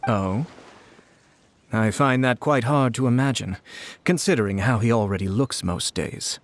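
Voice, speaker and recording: A man playing a courteous, composed and formal gentleman in an anime video game. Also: medium pitch, unhurried, no room sound, natural voice.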